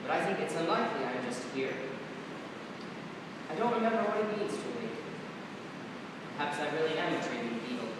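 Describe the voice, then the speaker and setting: A man playing a proud, wounded dream lover with cutting wit, speaking formal, measured verse in a staged reading of a play. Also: sing-song. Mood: wry, resigned. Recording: distant, echoey hall, rumbling room noise.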